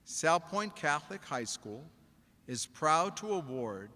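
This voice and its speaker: A man reading a formal award citation in a slow, measured, ceremonial way. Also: fairly high pitch, big pitch swings, pushed and bright. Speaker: presenter of school award. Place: large convention hall. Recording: echoing hall over a PA.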